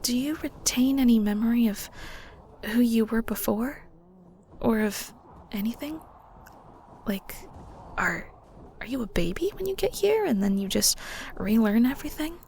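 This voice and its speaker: Casting: actress as a curious, polite female underworld ghost, higher-pitched, hesitant and giggly, with some fry, over faint noise. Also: expressive, measured pace, pauses often, a little mellow. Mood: shy, curious. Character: shy, curious young female ghost, newly arrived in the underworld. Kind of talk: audio roleplay, intimate conversational acting.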